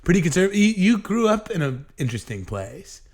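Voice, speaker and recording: A man hosting a casual podcast, speaking haltingly with frequent restarts and casual swearing. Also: high voice, sing-song. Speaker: podcast host.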